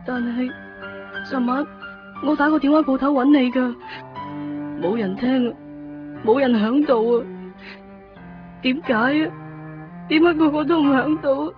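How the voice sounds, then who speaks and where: A woman's voice: high voice, a little slow, pauses often. An actress playing a remorseful, lovesick young woman in a radio drama monologue, with a Hong Kong Cantonese accent. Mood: sad, apologetic. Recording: muffled radio drama recording, music.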